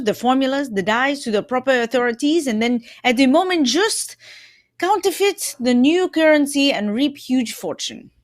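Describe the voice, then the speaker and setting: Brief: woman as courteous, self-assured male sleuth, high voice, theatrical radio-play delivery, close dry sound. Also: no echo, close to an everyday voice. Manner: theatrical, explanatory, punctuated with "eh?". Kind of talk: old-time radio mystery acting.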